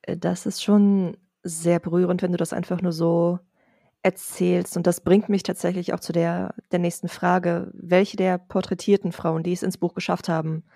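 Soft-spoken woman host, mellow low voice. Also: little pitch movement, normal speed, vocal fry, no echo, clean studio sound. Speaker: podcast host, interviewer. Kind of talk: conversational podcast interview.

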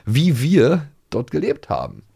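A man's voice: on the high side, wildly swooping pitch, unhurried, vocal fry, mellow, slightly rough. A man in his forties from East Germany, co-hosting a casual chat and talking with many fillers and restarts. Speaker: podcast co-host.

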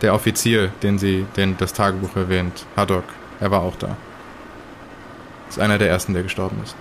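A man playing a measured, grave witness who speaks formally and deliberately in an audio drama retelling. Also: low-pitched, flat delivery, background noise. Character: measured, grave male witness recounting eerie deaths.

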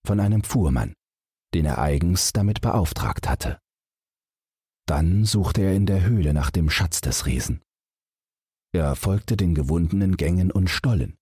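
A man reading a bedtime fairy tale as a scripted audiobook, with measured, dramatic storytelling. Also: low voice, darkish tone, breathy edge, no echo, studio quality. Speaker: audiobook narrator.

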